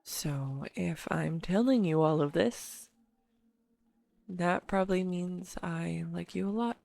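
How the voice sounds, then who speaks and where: A woman acts out an earnest, bashful girlfriend in an intimate audio roleplay. Her voice sits low for a woman and she takes her time. She rambles affectionately to one listener, halting and teasing as she goes. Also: vocal fry, a little mellow, no echo. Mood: tender, earnest.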